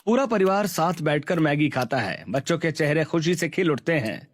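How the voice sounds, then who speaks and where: A man's voice: on the high side, expressive, normal speed, no room sound, faint music behind. A man reading scripted narration for a Hindi cartoon story.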